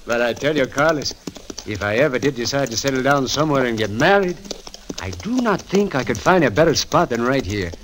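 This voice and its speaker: Man as earnest, excitable young soldier. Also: medium pitch, a little fast, slight background noise, lightly acted. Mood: protesting. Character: earnest young Spanish soldier, proud dreamer of land. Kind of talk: 1940s radio drama acting. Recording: vintage 1940s radio broadcast recording.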